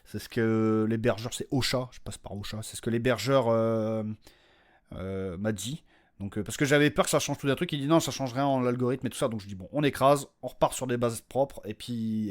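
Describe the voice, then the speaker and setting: A man hosting his own podcast, giving an unscripted, informal announcement in a rambling, slangy, self-deprecating way. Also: medium pitch. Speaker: podcast creator and host.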